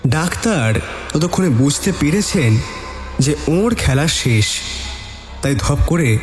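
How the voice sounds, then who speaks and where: A higher-pitched male narrator tells a suspenseful detective tale in a dramatic first-person style. His voice carries a long, echoing reverb, with music playing underneath. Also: expressive, takes it slowly. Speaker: story narrator.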